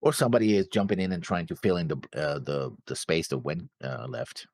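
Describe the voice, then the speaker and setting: A man with a low voice talks casually as a co-host, questioning and speculating as he goes. His intonation moves widely up and down. The recording is close and dry. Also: expressive, fairly quick, a little mellow, dry recording. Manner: questioning, thinking aloud. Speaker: reaction show co-host.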